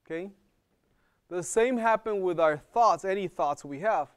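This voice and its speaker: Male lecturer with an accent, slightly high and smooth, teaching a live class in a casual, searching way. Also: a little slow. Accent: non-native English. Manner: conversational, checks in with "okay?" and repeats words while thinking. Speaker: health program lecturer.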